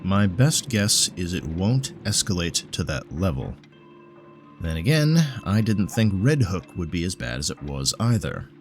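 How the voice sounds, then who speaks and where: Bookish occult scholar for audio drama, performed by a male narrator: pedantic, earnest, slightly exasperated, lifted above a very low natural pitch, music underneath. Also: sing-song, exaggerated voice. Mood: worried, weary. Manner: measured, pedantic, explanatory.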